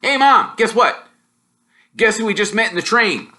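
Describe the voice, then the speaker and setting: A man voicing cheeky, excitable teenage twin boys in a storybook, loud, eager and joking. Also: high-pitched, moderate pace, voice pushed up, full character voice. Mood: excited.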